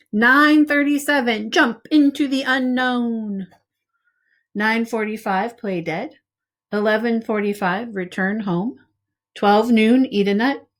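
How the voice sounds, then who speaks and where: Female preschool-teacher type, mid-pitched, for expressive picture-book read-aloud addressed straight to little kids. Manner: expressive storytelling, addressing young listeners directly. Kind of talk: children's read-aloud with casual asides.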